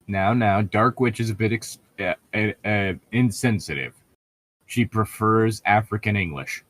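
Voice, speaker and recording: A man's voice: on the low side, measured pace, fairly bright tone, no echo. A man reading fan fiction aloud in a casual, mocking way, swearing and stumbling over words as he makes sarcastic asides. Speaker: co-reader on a fan fiction riffing show.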